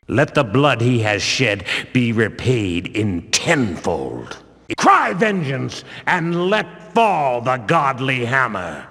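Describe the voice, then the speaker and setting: A zealous medieval temple guard from a video game, declaims in pompous archaic phrases. His stern, threatening voice has a husky rasp and a gravelly edge. He takes his time over the words, and they ring out in a long reverb. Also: mid-range voice, fairly bright tone, heavily acted. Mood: outraged. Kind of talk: theatrical video game voice acting.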